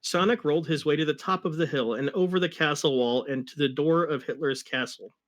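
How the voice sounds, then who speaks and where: A man's voice: fairly high pitch, fairly quick. A man giving a comic dramatic reading of a fan fiction story, with wry asides.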